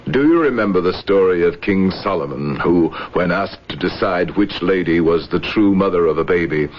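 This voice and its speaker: An actor in an old radio drama playing a proud, embittered, ailing army general, grave and formal. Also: male voice, low-pitched, normal speed, lightly acted. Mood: bitter. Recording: muffled, noisy old radio recording.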